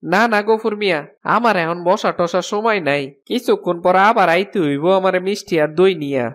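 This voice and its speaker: A man's voice: sits high, a little fast, a bit bright. A man playing a landlord's busy, businesslike steward in a cartoon fairy tale, speaking a dialect. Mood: brisk, businesslike. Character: landlord's male steward, brisk village official. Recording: background music under the voice.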